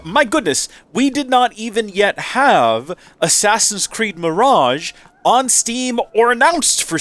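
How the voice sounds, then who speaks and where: A man's voice: high-pitched, fairly bright tone. A man hosting a let's play with casual, rambling commentary, his nose stuffy and a little nasal. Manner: chatty, rambling asides.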